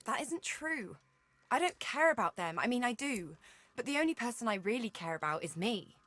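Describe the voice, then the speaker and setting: A woman's voice: a little high, sing-song, moderate pace, a bit bright, dry recording. An actress playing an anxious, earnest young woman adventurer in a video game, hesitant and emotional. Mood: distressed.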